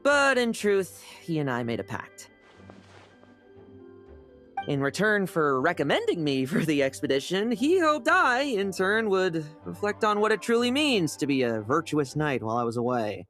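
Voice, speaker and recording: A sly young knight from a video game. Her voice sits a little low but swings dramatically up and down. She is theatrical and coaxing, always smoothly talking someone into something. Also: sing-song, moderate pace, a bit bright, soft background music. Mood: grandiose, sly.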